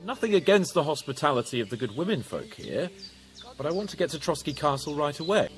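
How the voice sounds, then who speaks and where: An actor playing a cocky young nobleman who jests and boasts in a lordly, quick-witted way, in a game cutscene. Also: on the high side, sing-song, faint music behind. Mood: brisk, lordly. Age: young adult. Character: cocky young male knight, joking and boastful. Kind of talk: video game cutscene acting.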